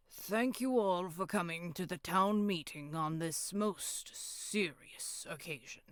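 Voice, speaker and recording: A woman playing a solemn, self-important village elder, speaking formally and deliberately in a horror roleplay audio drama. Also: on the low side, dry recording, clean studio sound. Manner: formal, deliberate, throat-clearing.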